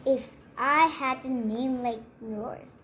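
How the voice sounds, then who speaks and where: A woman's voice: high-pitched, takes it slowly, fairly bright tone, a little hiss or hum. A woman voicing a smug, tactless schoolgirl in a storybook, speaking in pointed, matter-of-fact putdowns.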